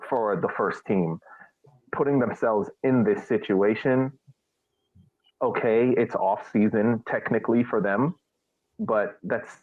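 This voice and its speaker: Phone-like male sports podcaster, explaining. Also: lowish voice. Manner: explanatory, argues through examples. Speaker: podcast co-host. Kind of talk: casual sports podcast commentary. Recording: thin phone-like radio sound.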